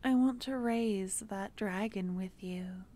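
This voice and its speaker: A woman playing a young female court necromancer who is tenderly affectionate and playful with her lover, speaking softly and often in a whisper for an ASMR roleplay. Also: mid-range voice, slow, lightly acted. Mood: tender, teasing. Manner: soft, intimate, often whispered.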